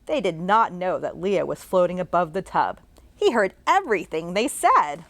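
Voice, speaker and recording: A woman's voice: on the low side, sing-song, moderate pace. A children's librarian, a woman, reading a picture book aloud and asking young listeners questions along the way.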